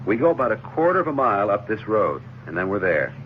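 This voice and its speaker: A man playing a gentle, reassuring middle-aged adoptive father in radio drama, patient and faintly uneasy. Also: on the low side, very animated, quick pace. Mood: amused, patient. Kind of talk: 1970s radio drama acting. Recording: muffled, noisy 1970s radio recording.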